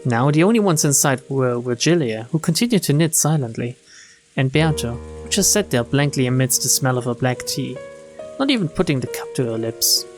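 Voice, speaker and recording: A man's voice: mid-range voice, expressive, normal speed. A man streaming a game, reading visual novel narration and dialogue aloud in a dramatic storytelling style. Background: game music.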